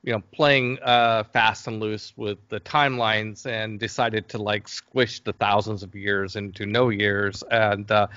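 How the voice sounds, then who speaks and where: A man talking casually as an interview guest, telling stories in a hesitant but enthusiastic, halting way. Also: lowish voice, little pitch movement, fairly bright tone, no room sound, lo-fi sound. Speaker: interview guest, game creator. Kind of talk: casual interview talk, storytelling.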